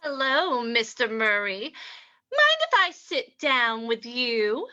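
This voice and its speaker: Woman playing high, bright, coy gold-seeking gal. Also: very animated, takes it slowly, muffled recording, heavily acted. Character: coy, flattering young woman gold-seeker in a tavern. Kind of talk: old-time radio acting.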